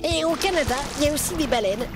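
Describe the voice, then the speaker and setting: Woman voicing a show-off boy teddy bear, Belgian French accent, high-pitched, kids' puppet show, clear music underneath. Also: lively intonation, quick pace. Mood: excited. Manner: enthusiastic, slangy, exclaiming. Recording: music behind the voice.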